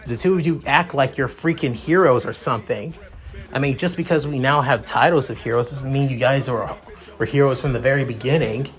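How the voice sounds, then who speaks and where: A man's voice: mid-range voice, fairly quick, natural voice. A man voicing a brash, girl-chasing young hero who is pushy and easily offended, in an amateur anime story. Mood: irritated. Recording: music behind the voice.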